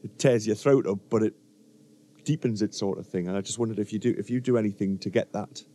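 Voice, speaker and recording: A man interviewing a singer in a relaxed, casual way, with many short backchannels. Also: fairly low pitch, fast talker.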